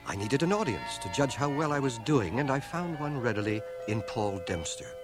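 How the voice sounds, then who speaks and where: A man's voice: medium pitch, sing-song, moderate pace, natural voice. An actor playing a grown man looking back on his boyhood, narrating a radio drama in a reflective way. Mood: reflective, measured. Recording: 1980 radio drama from home cassette transfer. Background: music.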